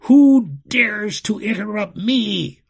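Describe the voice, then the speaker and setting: A man's voice: sits high, animated, drawn-out words, voice pushed up, full character voice. A man voicing a raging, sinister fire wizard in a storybook, commanding and gloating as he shrieks. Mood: commanding rage.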